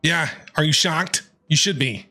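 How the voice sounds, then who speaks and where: A man giving casual, playful live reactions while streaming a video game, excitable and quick to respond. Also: a little high, creaky. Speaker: gaming streamer. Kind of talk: casual live reaction commentary over gameplay. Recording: game music behind the voice.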